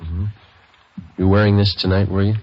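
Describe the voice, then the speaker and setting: A man playing a terse, deadpan police sergeant in an old radio crime drama. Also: low voice, moderate pace. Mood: pressing. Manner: deadpan, clipped questions. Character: terse, businesslike male police detective sergeant. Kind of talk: 1950s radio drama acting, narration and dialogue. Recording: dull old radio recording, faint music.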